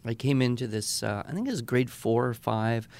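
Middle-aged man telling relaxed classroom anecdotes. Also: medium pitch, normal speed, gravelly, dry recording. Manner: relaxed anecdotal, frequent "uh" and "you know". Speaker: podcast co-host, writing educator. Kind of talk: casual conversational storytelling.

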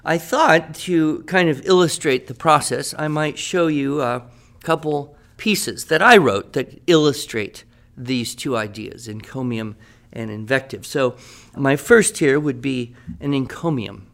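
A man's voice: medium pitch, unhurried, fairly bright tone. A man playing a writing teacher who leads a class in an upbeat, encouraging and wordy way. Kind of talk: scripted classroom radio drama.

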